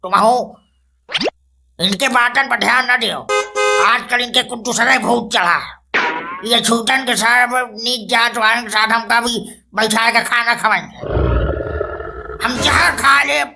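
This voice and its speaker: Creaky, haughty old village patriarch played by a woman. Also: on the high side, background noise. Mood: scornful grumbling. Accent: Awadhi. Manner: indignant, sarcastic scolding with drawn-out rhetorical questions. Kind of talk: comic cartoon dialogue.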